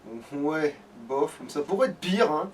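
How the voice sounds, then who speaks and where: A man's voice: a little high, lively intonation, measured pace, slight background noise. Sweary man gaming, distant from the mic. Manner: sweary, hesitant thinking aloud. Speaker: let's play host. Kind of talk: casual gaming commentary.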